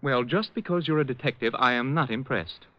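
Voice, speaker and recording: A man's voice: medium pitch, brisk, natural voice. An actor playing a snooty, fussy male store clerk with a haughty, sarcastic manner in 1940s radio style. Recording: dull vintage radio recording.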